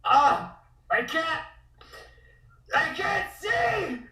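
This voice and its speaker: A cocky, foul-mouthed tough guy with a gun, acted by a man, drawing his words out slowly. The pitch swings widely, and the sound is echoey and distant. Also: big pitch swings, bright, forceful tone, raised voice, heavily acted. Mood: agonized, panicking.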